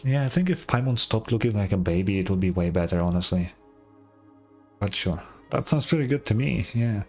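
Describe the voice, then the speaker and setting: A man's voice: on the low side, animated, fairly quick, a little mellow. Give me an informal male streamer reacting aloud to a game, with music behind him. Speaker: gaming streamer. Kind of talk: casual gameplay commentary.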